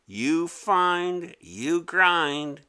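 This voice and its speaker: A man's voice: fairly high pitch, animated, very slow, pushed and bright, smooth, voice pushed up, exaggerated voice. A man voicing a determined, exasperated schoolgirl in a children's storybook, speaking emphatically. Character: determined, exasperated schoolgirl scheming against a pest.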